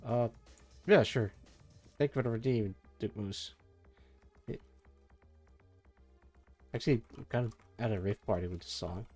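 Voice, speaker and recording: A low-pitched man speaks softly on a home desk mic, sleepy and meandering. He mutters half to himself between remarks to his viewers. A faint energetic soundtrack plays under his voice. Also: measured pace, long pauses, husky. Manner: soft, meandering, mumbles to himself, trails off. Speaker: streamer. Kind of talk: casual live-stream chat while working. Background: faint energetic soundtrack music.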